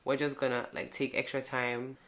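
A woman's voice: a bass voice, little pitch movement, normal speed, fairly bright tone. A young woman chatting casually to her viewers from home, full of fillers and asides to them. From Ghana. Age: young adult. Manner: chatty, filler-heavy, addresses viewers as guys. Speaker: vlogger. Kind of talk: casual chat to camera. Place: at home indoors.

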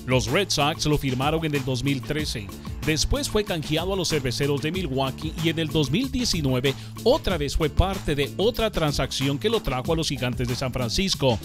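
A man's voice: on the high side, normal speed. Male documentary narrator over music. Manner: smooth, even documentary reading. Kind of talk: scripted narration.

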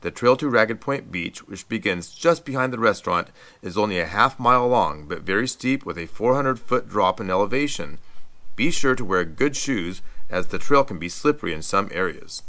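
A middle-aged man reading scripted travel narration in an even, informative guide manner, as a voice-over. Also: on the low side, normal speed. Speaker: travel vlog co-host. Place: voice-over, not recorded on location.

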